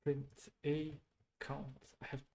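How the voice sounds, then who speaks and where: A man thinking aloud while debugging code on a stream, halting and muttering to himself, often trailing off. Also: mid-range voice, little pitch movement, mellow. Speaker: programming streamer.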